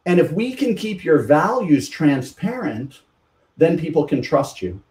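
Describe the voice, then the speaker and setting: A man's voice: a little high, big pitch swings, a little slow, a little mellow, far from the mic. Male podcast guest, communication coach type, explanatory; off-mic, roomy sound. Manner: explanatory, teaching with examples. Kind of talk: podcast interview.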